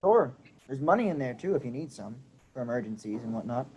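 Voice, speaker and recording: A man playing an agreeable, easygoing young man of a farm family in a staged play reading, with a husky voice. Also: medium pitch, very animated, a little fast, a little mellow, slight background noise, natural voice, low-quality recording. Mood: reassuring.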